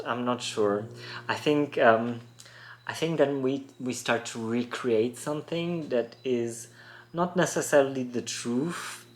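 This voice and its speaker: A thirty-something man hosts a solo podcast from his rural home. He talks in a thoughtful, musing way, laughing softly now and then. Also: mid-range voice. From born in Poland, raised in Germany. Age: 30s. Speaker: podcast host. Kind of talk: reflective solo podcast talk.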